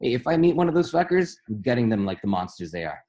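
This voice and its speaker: A man's voice: medium pitch, very animated, fast, mellow, natural voice. A man playing a friendly, cocksure young roommate who earnestly spouts conspiracy theories in a comedy table read, slangy and boastful. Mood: matter-of-fact. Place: at home, remote table read.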